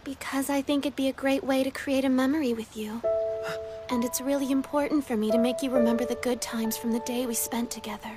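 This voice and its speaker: Casting: teasing, sweetly sly teen anime girl acted by a woman, high voice, loud music underneath. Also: steady tone, brisk, close to an everyday voice. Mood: sweet, eager. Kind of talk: anime fan dub acting.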